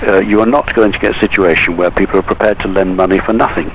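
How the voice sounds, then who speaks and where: A man on a phone call, politely disagreeing and explaining in a measured, hesitant way. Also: low-pitched, fairly even intonation. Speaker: bank representative taking a call. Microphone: telephone call. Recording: noisy recorded phone call.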